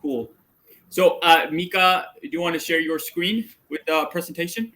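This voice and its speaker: A man's voice: a little high, moderate pace, bright, forceful tone. A young man from Argentina giving a casual group presentation on a video call, talking in an upbeat way with many fillers. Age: young adult. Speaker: student presenter.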